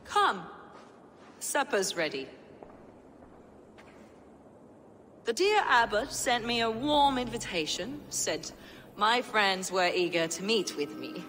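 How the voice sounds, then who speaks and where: An actress playing a smug, fanatical villain in a video game, mocking and theatrical with false gentility. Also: female voice, mid-range voice, fairly bright tone, in a large hall. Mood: mock-genteel. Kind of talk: dramatic video game acting.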